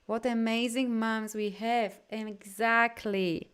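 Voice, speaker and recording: Clear-voiced woman coach, non-native English speaker. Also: on the high side, flat delivery, slow pace. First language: not English. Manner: effusive, encouraging, often reading out comments. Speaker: energy healing coach hosting a live session. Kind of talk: informal live coaching talk to viewers.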